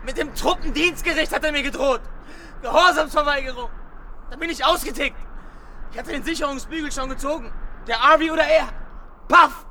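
An actor playing an agitated, cornered soldier in a radio drama, bitter and defiant, blurting coarsely in short bursts. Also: male voice, very high-pitched, a little fast, pauses often, bright, forceful tone, a little hiss or hum, full character voice. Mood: bitter, desperate. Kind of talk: naturalistic German radio drama acting.

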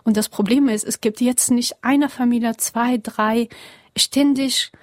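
A young woman from Afghanistan answering interview questions earnestly in halting, German, with many pauses and fillers. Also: fairly high pitch, steady tone, a little mellow, dry recording, clean studio sound.

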